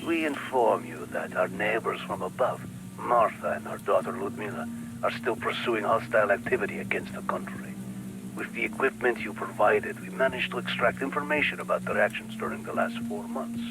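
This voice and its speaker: A man's voice: fairly low pitch, a little fast, background noise, close to an everyday voice. An actor playing a cold, formal male informant in a video game, delivering an official report.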